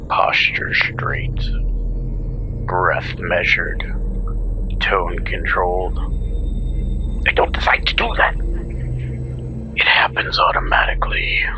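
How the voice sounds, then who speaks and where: A man playing an eerie, obsessive confined man in a spooky monologue, murmuring breathy whispers in clipped fragments with long pauses. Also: low-pitched, voice pushed down, exaggerated voice, poor audio.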